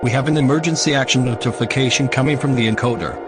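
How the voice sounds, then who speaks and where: A man's voice: medium pitch, fast, over music, close to an everyday voice. A man playing a formal TV news anchor, reading a scripted breaking-news bulletin. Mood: serious.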